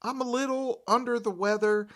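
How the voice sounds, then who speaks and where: A man's voice: very high voice, steady tone, normal speed, fairly bright tone. A congested man hosting an upbeat, enthusiastic gear review with a stuffy nose. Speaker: host. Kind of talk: product review.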